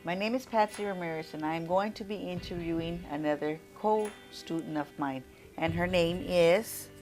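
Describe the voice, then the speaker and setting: A woman's voice: on the low side, normal speed, music in the background. A woman student interviewing a classmate, politely asking questions and restarting them midway.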